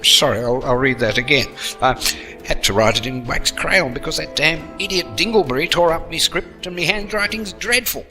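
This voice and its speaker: A man's voice: a little high, normal speed, sharp tone, faint music behind. A man playing a mock-grand, wordy and testy narrator of a comic sci-fi audio adventure.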